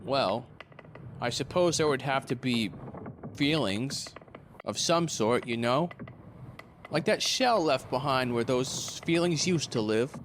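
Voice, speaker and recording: A man's voice: medium pitch, animated, slow pace. A man acting in a conversational stage drama, playing a glib, crude, wounded ex-lover who rambles and needles. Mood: glib, rambling. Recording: faint background noise.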